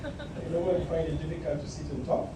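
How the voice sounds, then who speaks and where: High-voiced man in an echoey hall. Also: sing-song, fast, noisy background. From Kenya. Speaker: panelist from a public ICT organization. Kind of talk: panel talk. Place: conference hall. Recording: echoey hall, far from the mic.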